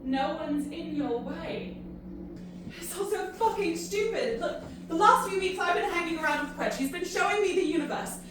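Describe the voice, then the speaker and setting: A woman playing an earnest, disillusioned human pleading in an audio drama, halting and emphatic in her delivery. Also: very high voice, expressive. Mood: frustrated, bitter. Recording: very echoey, distant, noisy ambience.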